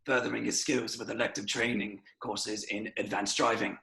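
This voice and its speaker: A man reading a formal service record in a measured, official voice-over. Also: fairly low pitch, flat delivery, gravelly, sharp tone. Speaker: voice-over reader.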